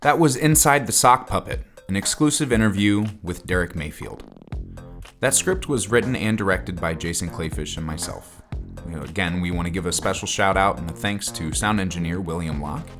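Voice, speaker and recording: A man's voice: sits low, animated, normal speed. A man hosting a podcast, reading closing credits and thanks over music. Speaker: podcast host and writer. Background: guitar music.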